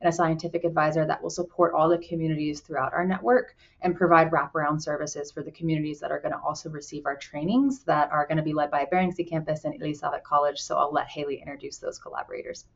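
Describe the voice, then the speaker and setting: A woman's voice: low voice, steady tone, fast, vocal fry, hardly any pauses. A woman from Colorado, a program director, giving an earnest conference presentation with frequent "um"s over a video call. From Colorado, USA. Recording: muffled video call audio.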